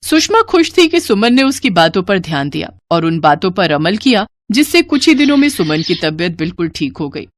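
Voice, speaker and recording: A woman's voice: on the low side, sing-song, moderate pace, hardly any pauses. A woman narrator reading a scripted moral story.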